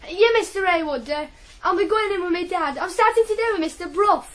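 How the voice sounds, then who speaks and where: An earnest young lad, in a working-class radio play. The voice is very high and boyish, polite and deferential to elders, yet full of eagerness. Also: female voice, fast, fairly bright tone. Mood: flustered. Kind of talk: 1980s radio drama acting.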